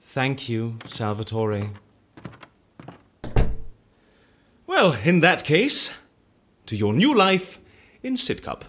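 Wry, theatrical boss for audio drama; mock-genteel, eccentric, very lively intonation. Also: male voice, medium pitch, slow, slight background noise, exaggerated voice. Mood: wry, breezy. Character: suave, eccentric, dice-obeying male office boss, wry and theatrical. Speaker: lead character in an audio drama.

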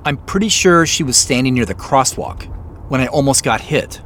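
A man's voice: mid-range voice, lively intonation, moderate pace, a bit bright, a little hiss or hum, close to an everyday voice. A man playing a polite, uneasy professional in a naturalistic audio drama, speaking in an understated, hesitant way.

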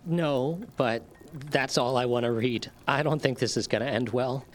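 An actor playing a naive, baffled newcomer in an audio drama, halting and questioning. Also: mid-range voice, lightly acted. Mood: uneasy. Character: naive, baffled company-minded newcomer reading notes aloud.

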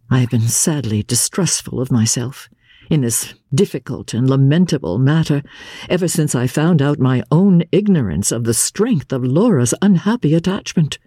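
An older woman narrating classic literature as a diary, in a measured, grave and expressive dramatic reading. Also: no room sound, studio quality. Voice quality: low-pitched, husky. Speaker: audiobook narrator, radio presenter.

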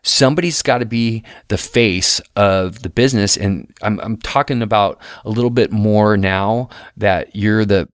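Low smooth-voiced man giving earnest advice. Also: measured pace, hardly any pauses, no echo, clean studio sound. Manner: earnest, confessional, repeats words as he restarts. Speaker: podcast guest host. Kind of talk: casual advice talk.

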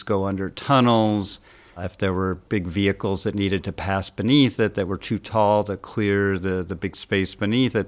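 A man speaking as a guest editor, explaining a news story in an informal, plain-spoken, conversational way. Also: low voice. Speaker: senior editor, interviewed guest.

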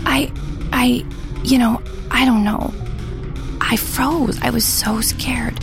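A terrified young woman, haunted by a faceless ghost, pleads in a high, trembling voice as a woman puts her on. Her words come slow and breathless, with a gravelly catch. Faint music plays beneath this storybook horror scene. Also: steady tone. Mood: frightened.

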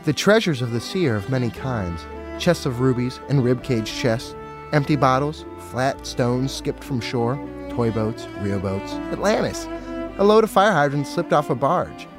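A man narrates a scripted story in a medium-pitched voice. Violin music plays clearly behind his storytelling. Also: expressive, measured pace.

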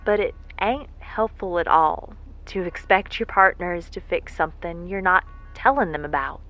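A woman playing an earnest, vulnerable worker recording a heartfelt message in an audio drama, speaking in a folksy, halting, reflective way. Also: fairly low pitch, natural voice. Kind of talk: intimate monologue in an audio drama. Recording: muffled lo-fi audio with music behind. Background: music.

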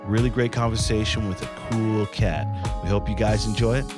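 A man's voice: on the low side, steady tone, brisk. A man hosting a podcast, reading an upbeat scripted intro in a promotional manner. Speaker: podcast host. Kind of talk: scripted intro then casual chat. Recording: music bed behind voice.

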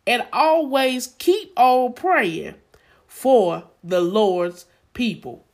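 A woman's voice: on the high side, animated, extremely slow. Exhorting woman preaching on a podcast. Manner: exhorting, repeats phrases for emphasis. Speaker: podcast co-host. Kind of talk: preaching-style faith podcast talk.

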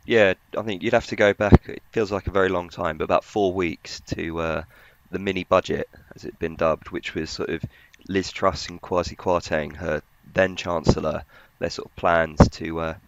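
A man with a very low voice and plenty of fry gives conversational commentary as a podcast panellist. His delivery stays flat, and the audio is slightly dull. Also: normal speed, a little hiss or hum. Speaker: financial journalist, podcast panellist.

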